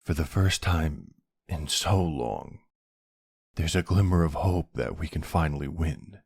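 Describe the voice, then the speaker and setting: Brooding ancient vampire hunter, played by a man, gravelly and slow, close dry sound, deliberate ASMR roleplay delivery. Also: very deep voice, animated, a little mellow, no echo, exaggerated voice. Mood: earnest, resolute. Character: brooding centuries-old male vampire who hunts vampires. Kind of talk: ASMR audio roleplay, scripted dramatic monologue.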